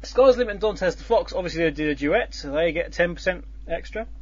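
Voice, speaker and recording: A man hosting a casual talk, his voice sitting a little high. He rambles through the explanation, full of ums and restarts and the odd tease. The recording is dull and lo-fi. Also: animated, brisk. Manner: rambling, many fillers and restarts, teasing. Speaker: host. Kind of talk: casual chat explaining a contest's scoring. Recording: dull lo-fi sound.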